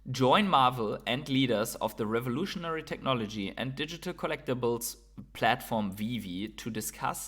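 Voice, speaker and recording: A man's voice: medium pitch, a bit bright. A man hosting a solo video commentary, talking informally and explaining his opinions, often checking in with short tag questions. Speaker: host of a crypto and digital collectibles video channel. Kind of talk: informal solo commentary, speculating and reading out posts.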